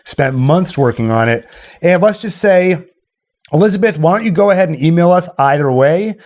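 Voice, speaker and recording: A man's voice: fairly high pitch, animated, moderate pace, darkish tone. A man hosting a livestream, chatting casually with his viewers. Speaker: livestream host.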